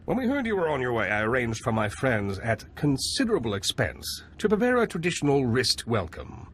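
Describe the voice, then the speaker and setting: An actor playing a smug, menacing noble villain in a video game, theatrical and taunting. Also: male voice, medium pitch, huge pitch swings, a little fast, a bit bright. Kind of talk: scripted video game acting.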